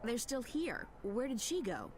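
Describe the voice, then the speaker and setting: A woman's voice: fairly high pitch, very animated, unhurried, a little hiss or hum. An actress playing a curt, guarded, war-weary young rebel woman in a fantasy game, terse and no-nonsense. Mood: baffled.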